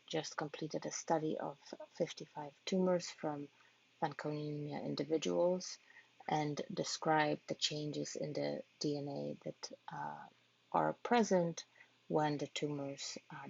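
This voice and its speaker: A low-voiced woman from Poland with a heavily gravelly, husky tone, presenting her research to patient families. She speaks in a measured, earnest way and pauses now and then to find a word. The audio is dull and lo-fi, as from an online talk. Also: a little mellow. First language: Polish. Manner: measured, earnest, occasional hesitations. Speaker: physician-scientist giving a research talk.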